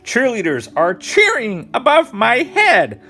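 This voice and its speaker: Man putting on high, startled storybook neighbour. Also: huge pitch swings, sharp tone, soft background music, heavily acted. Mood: amazed. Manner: exaggerated, drawn-out sing-song exclamations. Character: startled picture-book neighbours calling out at noises overhead.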